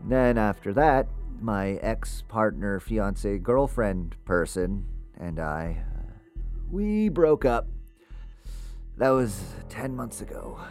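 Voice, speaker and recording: Audio drama role: thirties ghost obsessive, male, deep register, prickly and self-correcting, naturalistic delivery. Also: very animated, a little mellow, faint music behind. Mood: awkward, confessional. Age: 30s. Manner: hesitant, halting, self-correcting. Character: defensive, awkward thirty-one-year-old man obsessed with ghosts.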